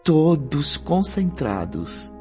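A man narrating a radio drama in a measured, literary style. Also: on the high side, sing-song, exaggerated voice. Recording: dull lo-fi recording. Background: music.

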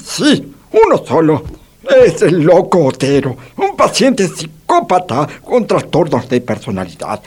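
An actor playing a distraught, anguished psychiatrist in a comic radio play, with an Argentine accent and melodramatic delivery. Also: male voice, on the high side, huge pitch swings, a little slow, a little mellow, slight background noise. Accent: Argentine, Rioplatense. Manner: melodramatic, wailing exclamations.

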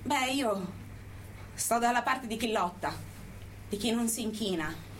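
A woman's voice: on the high side, expressive, unhurried, fairly bright tone, slight background noise, low-quality recording. An actress playing a proud, angry imprisoned activist in her thirties, declaiming a political theatre monologue with rhetorical emphasis. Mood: bitter, resolute. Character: defiant jailed activist woman of thirty-three, proud and angry.

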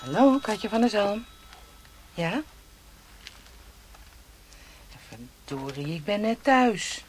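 Radio drama casting: female police inspector, brisk, plain-spoken, mid-pitched, slightly noisy recording. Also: very animated. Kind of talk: naturalistic Dutch radio drama acting.

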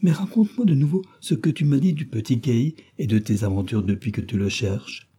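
A man's voice: mid-range voice, fairly quick, dark tone, slightly rough, close to an everyday voice. A man voicing a wild, cruel little robber girl who talks in a fierce, teasing, bossy way.

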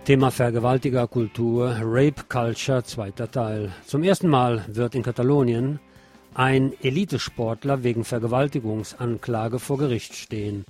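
A man's voice: medium pitch, normal speed, a little mellow. A man reading scripted radio news and commentary in a wry, sardonic manner. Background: guitar music.

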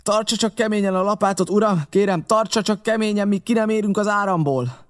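A man's voice: high-pitched, pitched above the performer's own voice, lightly acted. A man voicing a courteous, resolute male sea captain in an audiobook, giving clipped, urgent orders. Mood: urgent, firm.

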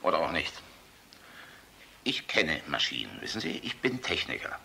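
A deep, gravelly radio-play general, swinging widely in pitch. He is a sardonic, flirtatious drinker with a cutting, forceful edge and a swaggering charm. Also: wildly swooping pitch, moderate pace, slight background noise, heavily acted. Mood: reflective. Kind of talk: German radio drama acting.

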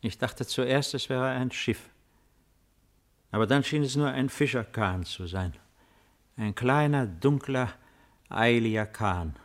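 An actor playing a weary, melancholy old Roman emperor in a solemn, measured 1950s radio drama style. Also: male voice, medium pitch, natural voice. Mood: brooding. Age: older.

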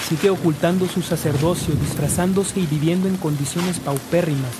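A man's voice: on the high side, steady tone, normal speed, heavy background noise, lightly acted. A man with a Mexican accent playing the earnest storyteller of a scripted radio drama. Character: earnest storyteller narrating a priest's life. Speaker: narrator.

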